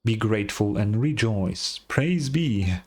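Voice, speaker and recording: A man's voice: fairly low pitch, animated, takes it slowly. A man voicing a pompous, devout bell ringer from a fantasy game, preaching in grand archaic phrases. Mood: rapturous. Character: pompous zealous male bell ringer, dreamy cult devotee. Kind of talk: game dialogue read in character.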